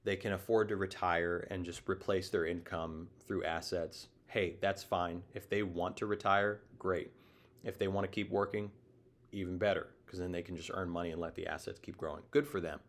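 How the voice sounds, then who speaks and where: Rambling low-voiced man. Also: fairly even intonation, moderate pace. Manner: rambling, digressive, emphatic. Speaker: show host. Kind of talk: casual opinion monologue.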